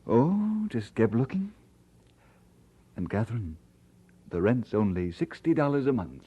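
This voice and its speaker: An actor playing an earnest, playful and devoted young Scottish preacher in an audio drama, speaking expressively. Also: male voice, mid-range voice, big pitch swings, a little slow, mellow, breathy edge, exaggerated voice. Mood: enthusiastic. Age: young adult.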